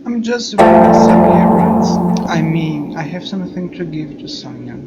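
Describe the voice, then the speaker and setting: A man's voice: on the high side, lively intonation, moderate pace, lo-fi sound, close to an everyday voice. A man playing a wary, blunt and foul-mouthed teenage transfer student in a video game. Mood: guarded. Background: loud music.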